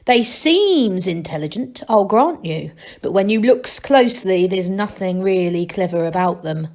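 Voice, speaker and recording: A woman's voice: medium pitch, lively intonation, a little slow, a little mellow, close to an everyday voice. A woman voicing a stubborn, opinionated old male gnome in a storybook, authoritative, with rustic grammar.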